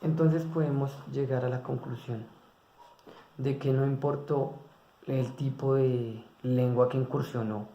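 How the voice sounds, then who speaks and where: A man's voice: mid-range voice, fairly even intonation, a little slow, dark tone, dull audio. A man giving a spoken class presentation, hesitating with fillers and restarting his words. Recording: echoey room.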